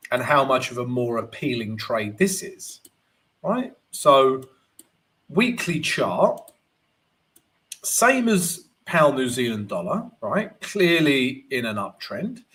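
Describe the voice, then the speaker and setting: A man with a gravelly voice today, hosting a live trading stream and walking viewers through charts. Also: medium pitch, slow. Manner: animated, keeps asking "right?", greets chat viewers. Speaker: forex trading livestream host.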